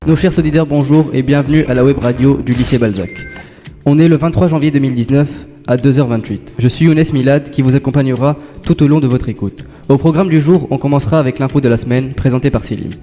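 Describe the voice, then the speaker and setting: A man's voice: medium pitch, fast, warm tone, long reverb tail, soft background music. A man hosting a school web radio show, reading his links politely and thanking each guest.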